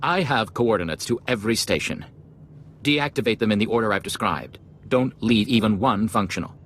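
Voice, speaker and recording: An actor playing a grave, precise scientist doctor in a video game, giving serious, urgent instructions. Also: male voice, lowish voice, expressive, normal speed, a bit bright, a little hiss or hum. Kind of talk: video game cutscene acting.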